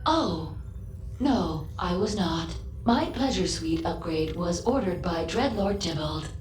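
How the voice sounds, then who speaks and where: A woman playing a polite, literal-minded female android in a video game, speaking formally and precisely with a deadpan manner. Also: lowish voice, takes it slowly. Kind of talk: scripted video game dialogue. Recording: roomy echo, music behind.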